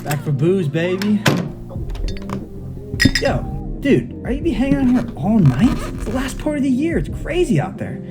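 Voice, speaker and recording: An actress playing a boisterous young student partier with a loose, slangy way of talking in an audio drama. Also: female voice, medium pitch, very animated, measured pace, pauses often. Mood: rowdy. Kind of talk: naturalistic audio drama acting. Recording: loud music behind dialogue.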